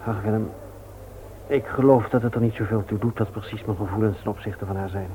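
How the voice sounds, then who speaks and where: An actor playing an earnest, reserved widowed father in a radio play, speaking in a hesitant, halting way. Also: on the low side, fairly even intonation, dark tone, close to an everyday voice. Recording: tinny old radio recording with hiss.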